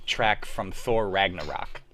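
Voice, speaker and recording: A man's voice: low-pitched, slow pace. A man chatting and laughing with castmates during a livestream break. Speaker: cast member. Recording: noisy home mic stream.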